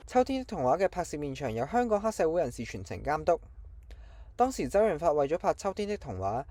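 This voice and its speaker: A man's voice: fairly high pitch, warm tone, dry recording. A man with a Hong Kong Cantonese accent presents scripted film trivia as an online channel host.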